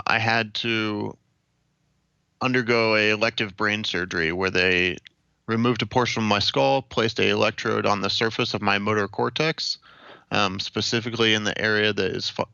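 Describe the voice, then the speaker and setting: An American man in his late twenties giving a measured, matter-of-fact account as an interview guest. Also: on the low side, creaky, bright, forceful tone, smooth, no echo, dull audio. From Ohio, United States. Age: late 20s. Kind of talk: recounting personal experience in an interview.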